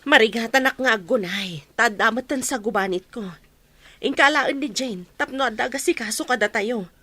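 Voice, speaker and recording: A woman's voice: fairly high pitch, moderate pace, sharp tone. A woman acting an ailing, plaintive village wife in a radio drama, with an Ilocano accent.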